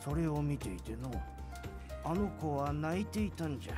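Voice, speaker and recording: A man's voice: fairly high pitch, animated, fast, close to an everyday voice. An actor playing an earnest, pleading old grandfather in a visual novel game, with an aged manner of speech. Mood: reflective. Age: older. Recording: music behind the voice.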